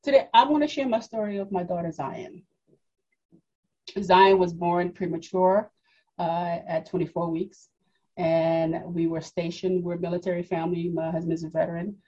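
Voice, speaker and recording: Husky mid-forties woman, low mellow voice. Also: fairly even intonation, normal speed, muffled recording. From Democratic Republic of the Congo, raised in New York. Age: 40s. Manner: reflective, many fillers and restarts. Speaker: podcast guest. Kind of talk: personal story in an interview.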